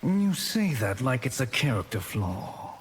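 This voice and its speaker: An actor playing a smug, unrepentant, power-hungry sorcerer villain in a video game, taunting and sardonic. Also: male voice, medium pitch, very animated, a little slow, in a large hall, slight background noise. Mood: smug, defiant.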